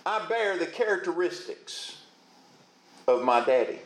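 Booming high-voiced preacher. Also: male voice, expressive, unhurried, roomy sound, background noise. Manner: folksy, calls out to congregation. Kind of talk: sermon. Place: church.

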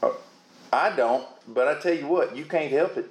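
A man preaching a sermon in a booming voice, folksy and calling out to the congregation. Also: normal speed, echoey room, poor audio. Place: church.

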